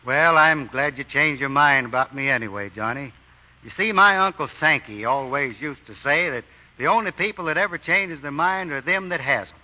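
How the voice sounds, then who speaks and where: A man's voice: fairly high pitch, moderate pace, natural voice. A man playing a sly, kindly cardsharp deacon with a drawling American voice in an old radio drama. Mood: warm, modest. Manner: folksy, drawling, feigned innocence. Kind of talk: 1940s radio acting. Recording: dull old radio broadcast recording.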